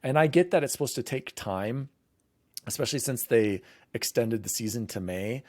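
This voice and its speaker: A man's voice: mid-range voice, animated, moderate pace, a little mellow, dry recording. A man hosting a casual gaming podcast, talking in rambling bursts with frequent restarts. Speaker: podcast host.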